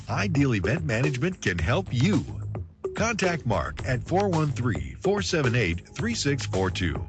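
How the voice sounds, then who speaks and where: A man reading scripted radio promos and a mock-solemn salute bit. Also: medium pitch. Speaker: announcer.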